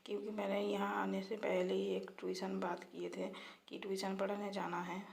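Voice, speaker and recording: A woman with a slightly hoarse throat, narrating her daily routine in a casual, chatty vlog style with English words mixed in. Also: medium pitch, level tone, moderate pace, darkish tone. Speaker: vlogger.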